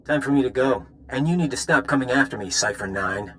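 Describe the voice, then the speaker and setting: A man's voice: medium pitch, expressive, brisk, fairly bright tone, a little hiss or hum, lightly acted. A man playing a smug rogue saboteur in a video game, taunting in a casual, mocking manner. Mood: threatening. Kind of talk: scripted video game dialogue.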